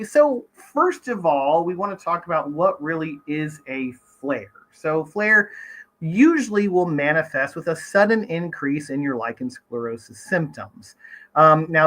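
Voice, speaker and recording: A man with a slightly high voice, hoarse and scratchy from a cold, presents to a patient group over a video call. He explains things in a relaxed, conversational way and often fills pauses with fillers. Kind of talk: online educational presentation.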